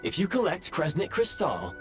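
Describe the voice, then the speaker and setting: A man voicing a polite, analytical robot companion in a video game, speaking in formal, precise, measured reports. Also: a little high, wildly swooping pitch, a bit bright, faint music behind. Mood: calm, reassuring. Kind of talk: scripted video game voice acting.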